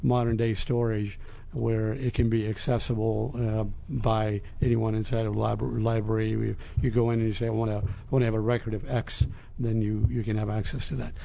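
A man in his fifties from Lebanon, answering interview questions in a halting, measured way. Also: lowish voice, level tone, creaky, dark tone. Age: 50s. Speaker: interview guest, business executive.

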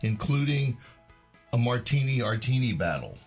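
A booming man co-hosts a studio radio show, joking around at a middle pitch. Faint music plays behind him. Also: big pitch swings, measured pace. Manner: booming, jokey. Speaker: radio co-host. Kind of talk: casual radio chat.

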